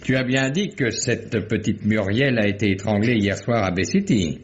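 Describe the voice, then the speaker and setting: An actor playing an elderly country sheriff in a radio mystery, folksy, fatherly and steady-nerved. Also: lowish voice, moderate pace, natural voice. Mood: puzzled, probing. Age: older. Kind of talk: 1950s French radio mystery acting. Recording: muffled, noisy vintage radio recording.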